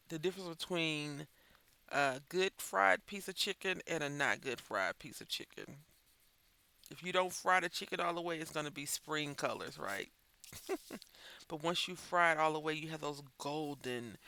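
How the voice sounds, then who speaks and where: A man's voice: a little high, gravelly, sharp tone. A man chatting while coloring as host of a crafting channel, rambling and thinking aloud, sounding stuffy and congested. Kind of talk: casual chat while coloring.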